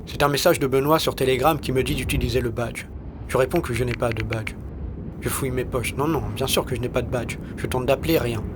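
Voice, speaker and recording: A man's voice: mid-range voice, brisk, background noise. A man narrating a first-person audio short story in terse, weary, wry and colloquial style.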